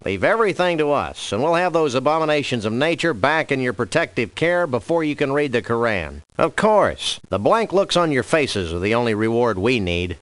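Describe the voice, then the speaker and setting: Laid-back cartoon dog detective, game voice, deadpan wit, wordy, very varied intonation, close dry sound. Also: male voice, mid-range voice, no echo. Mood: deadpan, folksy. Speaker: freelance police detective. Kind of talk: scripted video game character voice lines.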